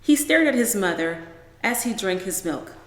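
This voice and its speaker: Animated woman storyteller in an echoey room. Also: mid-range voice, normal speed. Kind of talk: expressive children's story narration.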